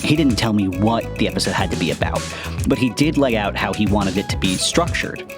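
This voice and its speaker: A man's voice: fairly low pitch, expressive, brisk. Male podcast host over prominent music. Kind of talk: conversational scripted introduction. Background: music with guitar.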